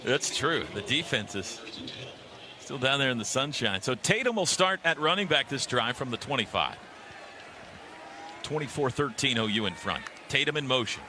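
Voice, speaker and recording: American male play-by-play announcer, average pitch, short punchy calls, live outdoor broadcast with clear background noise. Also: very animated, normal speed, sharp tone. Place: outdoor football stadium.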